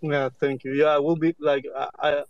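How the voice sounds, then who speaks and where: A man's voice: fairly high pitch, level tone, normal speed. A man asking a question as an audience member in a casual, polite chat. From lives in Orlando. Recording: muffled lo-fi line, clear background noise.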